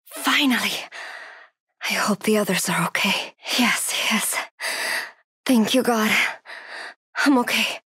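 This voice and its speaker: A woman's voice: on the high side, slow, long pauses, pushed and bright, slightly rough, no room sound, clean studio sound, exaggerated voice. Frightened young woman survivor, urgent and pleading. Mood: hushed, fearful. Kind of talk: video game horror voice acting.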